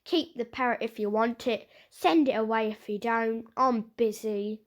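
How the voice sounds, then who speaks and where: Scholarly uncle for a storybook, played by a woman: gruff, curt, busy, dismissive. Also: on the high side, steady tone, measured pace, lightly acted. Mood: curt, irritated. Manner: grunting, curt.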